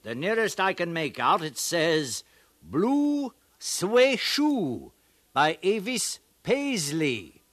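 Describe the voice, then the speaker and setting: An actor playing a proud, pedantic elderly professor in a 1950s radio drama, speaking in a formal academic manner. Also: male voice, high voice, huge pitch swings, drawn-out words, fairly bright tone, dry recording, exaggerated voice. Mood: proud, scholarly. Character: elderly distinguished professor of ancient languages, proud, pedantic, easily flustered.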